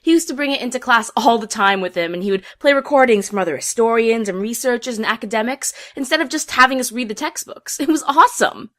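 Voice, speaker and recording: A woman's voice: a little high, animated, fast talker, hardly any pauses, fairly bright tone. A woman playing an enthusiastic, theatrical young female unicorn who explains things eagerly, in a fan audio drama.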